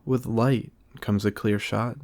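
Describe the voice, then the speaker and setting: A man voicing a serene, gentle female lamb spirit from a storybook, speaking formally and with calm reassurance. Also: on the low side, takes it slowly, no room sound. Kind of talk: storybook character reading.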